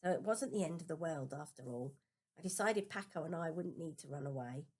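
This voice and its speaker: A woman's voice: deep voice, expressive, fairly quick, darkish tone, husky. A woman reading a children's novel aloud as a first-person storybook narration. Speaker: storybook reader.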